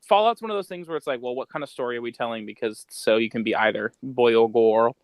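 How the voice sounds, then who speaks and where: A man's voice: mid-range voice, fast talker, no echo. Casual man rambling, dry close sound. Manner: slangy, rambling, thinking out loud. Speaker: podcast co-host. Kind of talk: casual podcast chat.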